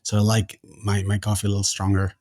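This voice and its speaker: Low-voiced man from Argentina, hesitant. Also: completely flat delivery, fast, a little mellow, no echo, studio quality. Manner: hesitant, many fillers and restarts. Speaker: podcast guest, company founder. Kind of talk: casual interview answers.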